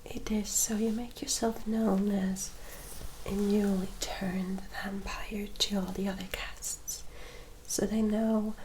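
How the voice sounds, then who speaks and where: A woman playing a gentle, courteous female vampire in a whispered ASMR roleplay, soft, formal and reassuring. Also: mid-range voice, little pitch movement, slow pace, slight background noise, lightly acted, low-quality recording. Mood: courteous, instructive.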